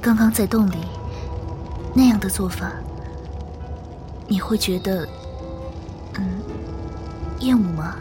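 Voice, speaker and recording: A woman playing a gentle, caring young disciple in a Chinese martial-arts audio drama. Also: medium pitch, takes it slowly, pauses often, music in the background, lightly acted. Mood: hesitant. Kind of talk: Chinese period radio drama acting.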